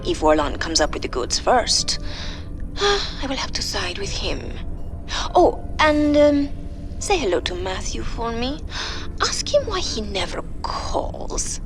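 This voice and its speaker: A woman's voice: fairly high pitch, huge pitch swings, slow, over music, heavily acted. An actress playing a flirtatious, scheming female mercenary leader in a video game, teasing and playful.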